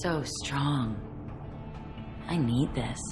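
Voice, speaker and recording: An actress playing a seductive, predatory alien killer in a video game, with slow, sultry, intense phrasing. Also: female voice, a bass voice, over music, exaggerated voice. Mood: menacing, sultry.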